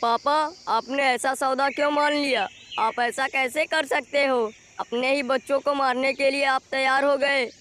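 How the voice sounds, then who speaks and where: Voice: sits high, steady tone, unhurried, fairly bright tone. A performer voicing a rude, stubborn young crow child in a Hindi cartoon moral story. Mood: alarmed protest. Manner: insolent, protesting. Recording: faint outdoor nature noise.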